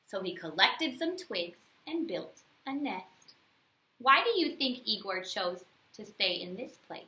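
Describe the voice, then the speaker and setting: A woman storyteller with a very bright, highly animated voice reads to young children. She is expressive and teacherly, pausing for answers, and the room adds a noticeable echo. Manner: expressive, teacherly questions with pauses. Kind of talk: bedtime story read-aloud, talking to young children.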